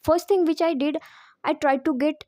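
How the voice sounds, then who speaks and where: High-voiced woman, non-native English speaker. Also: steady tone, moderate pace, dark tone, dry recording, clean studio sound. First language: not English. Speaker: English teacher giving advice. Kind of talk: informal spoken lesson drawing on personal experience.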